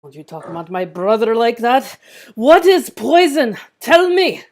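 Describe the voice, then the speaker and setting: A woman's voice: on the high side, sing-song, measured pace, darkish tone. A woman at a screenplay table read playing a vicious, vengeful interrogator, menacing and demanding. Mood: furious.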